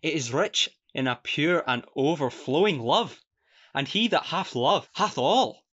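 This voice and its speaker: An actor playing an earnest young Scottish peasant lad in love, sentimental and declamatory, in a farcical radio play. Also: male voice, fairly high pitch, animated, a little slow, a bit bright, husky, lo-fi sound, heavily acted. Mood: noble, indignant.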